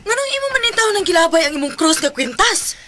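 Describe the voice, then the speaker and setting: An actress with a Filipino accent playing a devout, caring wife who scolds and preaches, in radio drama style. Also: female voice, very high-pitched, big pitch swings, fast talker. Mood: reproachful. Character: devout, reproachful wife, nagging but caring.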